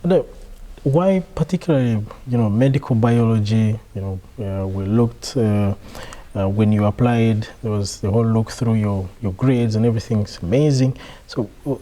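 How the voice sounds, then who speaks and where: A man's voice: fairly low pitch, moderate pace, mellow, low-quality recording. A man hosting a casual interview for university staff, asking rambling questions and murmuring agreement constantly.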